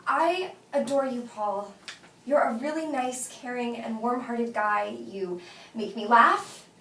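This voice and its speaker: An actress playing an exasperated but fond woman gently turning down her best friend, in naturalistic, hesitant film dialogue. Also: a little high, slow. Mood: gentle, apologetic. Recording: roomy, distant mic with faint noise.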